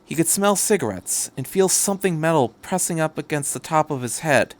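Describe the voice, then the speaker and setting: A man's voice: on the high side, animated, brisk. A man narrating a story aloud in a dramatic reading style, as a podcast host.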